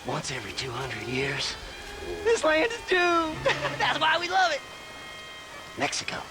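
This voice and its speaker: A man's voice: very high-pitched, wildly swooping pitch, a little slow, full character voice, low-quality recording. A man voicing a crazed, giggling grave robber in a video game cutscene, rambling in a manic sing-song way. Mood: giddy, deranged. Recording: music behind the voice.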